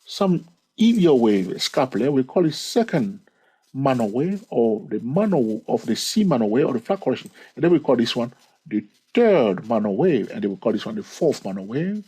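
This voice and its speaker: A man's voice: medium pitch, very animated, moderate pace, warm tone, husky. A man hosting his channel, walking through a chart lesson, stretching key words and repeating counts. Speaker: trading educator and channel host. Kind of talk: screen-recorded chart lesson.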